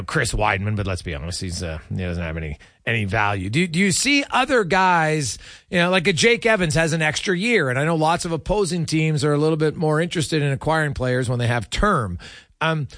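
Man, sports radio interviewer, very animated intonation, dry studio sound. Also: fairly high pitch, dry recording.

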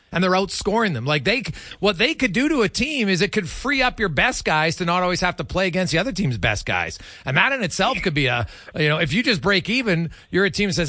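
Male sports radio host, emphatic hockey analyst, sharp bright tone, very dry sound. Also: on the high side, dry recording. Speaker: sports talk show host.

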